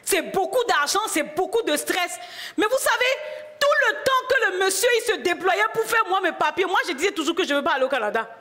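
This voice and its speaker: High-voiced woman preaching with fervor. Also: expressive, a little fast. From Benin. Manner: swings from hushed to loud exclamations. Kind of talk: sermon with personal testimony.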